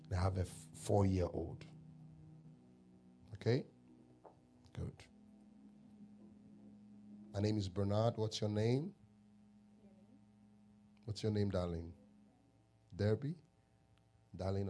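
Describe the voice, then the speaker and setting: A man from Ghana with a Ghanaian accent, a pastor calling himself a prophet, praying over people in a church service with slow drawn-out declarations that swell into loud shouts. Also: on the low side, slight background noise. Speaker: pastor, self-styled prophet.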